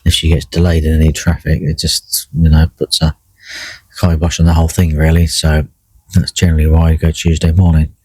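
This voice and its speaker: A middle-aged man with a croaky voice, chatting casually by himself while out fishing, with lots of fillers and restarts. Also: rumbling low, fairly quick, no room sound. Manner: relaxed, rambling, many fillers and restarts. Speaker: carp angler vlogging a session. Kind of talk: casual solo vlog commentary. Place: in a fishing bivvy by a lake.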